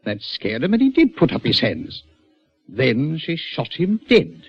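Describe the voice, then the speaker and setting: A man's voice: on the high side, huge pitch swings, unhurried, vocal fry, slightly rough, heavily acted. A man acting a troubled, defensive prison governor in a radio drama, speaking emphatically and insistently. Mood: grim, recounting. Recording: dry, dull lo-fi radio recording.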